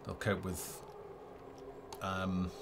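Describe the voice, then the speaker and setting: A man's voice: low voice, monotone, drawn-out words, creaky, very noisy. A man giving live gameplay commentary as a developer and stream host, thinking aloud hesitantly. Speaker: game developer hosting a livestream. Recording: game music behind the voice. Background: game music.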